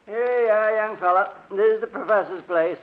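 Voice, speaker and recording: An actor playing a folksy, plain-spoken small-town sheriff with a rural American accent, in old radio drama style. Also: male voice, high-pitched, moderate pace, slight background noise, lightly acted. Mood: folksy, helpful. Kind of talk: 1950s radio drama acting. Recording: tinny, band-limited old radio recording.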